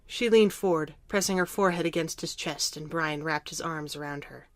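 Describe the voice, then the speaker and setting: A woman's voice: low voice, normal speed, dry recording. A woman reading scripted story narration for an audio drama.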